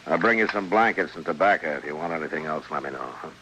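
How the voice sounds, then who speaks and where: An actor playing a stern, weary frontier marshal in an old radio western, grave and plain-spoken. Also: male voice, rumbling low, expressive, fast talker. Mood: grave, resigned. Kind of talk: old-time radio western drama acting. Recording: noisy old radio drama recording.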